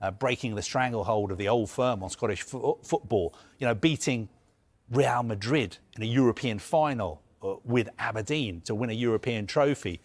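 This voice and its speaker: A man's voice: mid-range voice, moderate pace. A man giving live news analysis as a football reporter, earnest and emphatic, building his points through lists.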